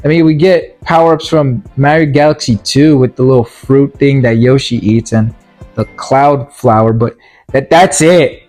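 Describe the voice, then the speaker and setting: A man's voice: medium pitch, moderate pace, darkish tone, soft background music, rough recording. A man giving a casual, comedic ranting recap as a video game movie reviewer, rambling and stumbling over his words with exasperation.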